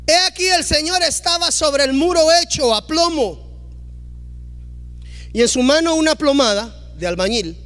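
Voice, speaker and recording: High-voiced man preaching emphatically. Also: expressive, normal speed. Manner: emphatic, rising to loud exclamations, acting out quoted dialogue. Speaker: pastor. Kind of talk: preaching a sermon.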